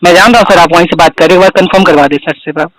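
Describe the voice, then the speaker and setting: A young man speaks on a recorded phone call in a high voice. The sound is dry and close. He is courteous yet keeps pressing, adding sir to nearly every phrase. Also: racing, a bit bright, dry recording. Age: young adult. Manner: polite but insistent, says sir constantly. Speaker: student answering a phone call. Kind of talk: phone conversation.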